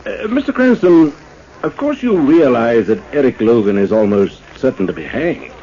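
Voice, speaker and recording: Mellow, swooping scheming businessman. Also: mid-range voice, very animated, normal speed. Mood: oily, cautious. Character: smooth-talking, scheming industrial promoter. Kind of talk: 1940s radio drama acting. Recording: muffled, hissy 1940s radio recording.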